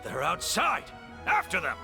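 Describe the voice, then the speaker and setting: A man voicing a panicky, excitable guard barking orders in a video game. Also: sits high, measured pace, gravelly, over music, full character voice. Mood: panicked.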